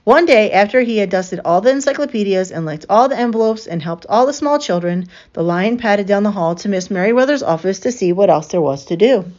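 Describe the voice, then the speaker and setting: A woman's voice: medium pitch, expressive, brisk, few pauses, darkish tone. Woman reading a children's story, slightly muffled. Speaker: storyteller reading a picture book aloud. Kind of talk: expressive children's story narration. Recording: slightly dull, lo-fi sound.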